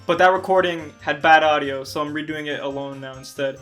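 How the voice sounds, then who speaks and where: A young man from Canada with a bright, high voice, running through viewer questions in a loose, joking way. He talks from his bed into a small recorder, and faint music sits under him. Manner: energetic, jokey, quick asides. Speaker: stop-motion animator and channel host. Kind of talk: casual Q&A vlog. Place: bedroom, on a bed. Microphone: handheld recorder.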